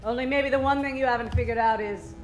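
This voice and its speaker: A woman's voice: a little high, fairly even intonation, fast talker, reverberant, faint music behind, close to an everyday voice. A woman playing a gloating, scheming villainess in an old-time radio crime comedy. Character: scheming, gloating villainess, cool femme fatale.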